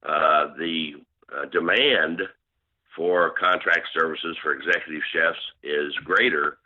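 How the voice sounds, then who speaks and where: A man's voice: rumbling low, fairly even intonation, takes it slowly. A man answering interview questions as a club management consultant, explaining steadily with many fillers. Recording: tinny phone line.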